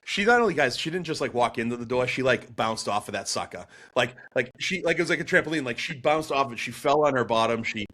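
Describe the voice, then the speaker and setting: A man in his forties with a Boston accent, a podcast guest telling his story in a chatty, animated way with lots of fillers and restarts. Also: medium pitch, quick pace, hardly any pauses. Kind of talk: storytelling interview answer.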